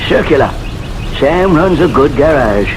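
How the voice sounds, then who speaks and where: A man's voice: on the high side, lively intonation, unhurried. Wry small town mailman guy, old radio play, bouncy delivery, joking, hissy recording. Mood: breezy. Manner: casual, folksy, joking. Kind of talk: old-time radio drama acting.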